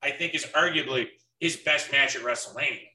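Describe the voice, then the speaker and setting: A man's voice: medium pitch, fairly quick, bright, forceful tone. A man in his early thirties chatting casually as a podcast co-host during a wrestling watch-along. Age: early 30s. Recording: echoey room, distant from mic.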